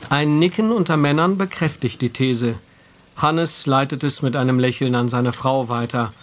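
A man narrating a scripted audio drama in a wry, measured storytelling manner. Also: mid-range voice, slight background noise.

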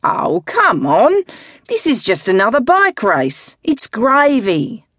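A woman's voice: on the high side, huge pitch swings, slow, a bit bright, dry recording, studio quality, voice pushed up, exaggerated voice. A woman voicing a middle-aged male cycling coach with a drawling, mocking manner. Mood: needling.

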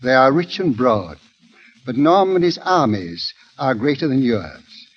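An actor playing a shrewd, unruffled cardinal, urbane and dryly ironic, in old radio drama style. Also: male voice, mid-range voice, sing-song, a little slow, husky. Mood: persuasive. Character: suave, shrewd, unruffled cardinal and chief minister. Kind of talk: 1930s-40s radio drama acting. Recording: dull vintage radio recording.

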